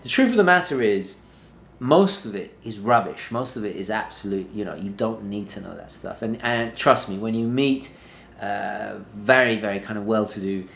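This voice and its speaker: A man's voice: on the low side, moderate pace, creaky, a bit bright, slight background noise. A young man talking to camera from his home study, earnest and emphatic, often restarting and repeating words as he makes a point. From born in London, early childhood in northern Italy. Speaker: lifestyle vlogger. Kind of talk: casual talk to camera. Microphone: camera at desk distance. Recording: echoey room.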